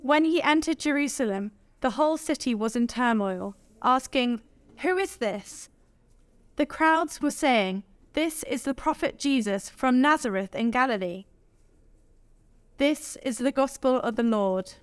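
Female scripture reader, slightly high pitch, formal church-reading delivery, very little room sound. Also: animated, unhurried, clear, resonant tone, no echo.

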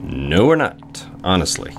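A man's voice: low-pitched, sing-song, a little slow, exaggerated voice. A man playing a genial, bashful executive in a period audio drama, courteous and a little hesitant. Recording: music under the voice. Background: music.

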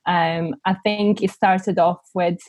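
A woman's voice: on the low side, fairly even intonation, takes it slowly, darkish tone, no echo. A young woman with a Bulgarian accent, speaking as a podcast guest and reflecting candidly in a conversational interview. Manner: reflective, thinks aloud with frequent restarts.

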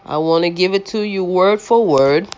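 Woman host with a loud, lower voice, rambling sermon-style podcast, dull lo-fi mic. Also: normal speed, clean tone. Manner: rambling with many restarts and fillers. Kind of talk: faith-based podcast talk.

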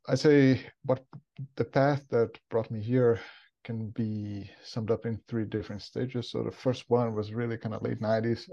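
A Brazilian man in his forties speaks with a Brazilian Portuguese accent and a gravelly, mellow voice. He tells his story in a relaxed, thoughtful way, leaning on "kinda" and restarting his sentences. The audio is dull and lo-fi. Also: mid-range voice. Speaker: podcast guest. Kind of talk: casual interview, career story.

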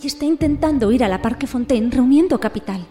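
A woman's voice: high voice, lively intonation, quick pace, warm tone. A woman playing a worried, self-deprecating botanist confiding in a colleague in an audio drama. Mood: concerned, confiding.

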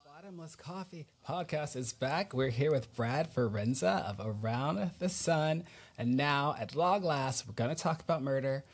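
A man's voice: on the high side, expressive, unhurried, slightly rough. Man hosting a casual podcast chat. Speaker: podcast host. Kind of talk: casual conversational interview.

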